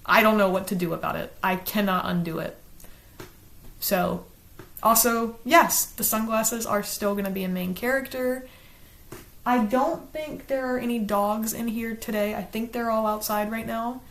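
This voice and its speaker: A woman from South Carolina with a Southern accent, hosting her own channel and talking casually to camera about a book she read, partly reading from a script. She is chatty and earnest, and slows down to enunciate. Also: on the high side, fairly even intonation, a bit bright. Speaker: channel host, book reviewer.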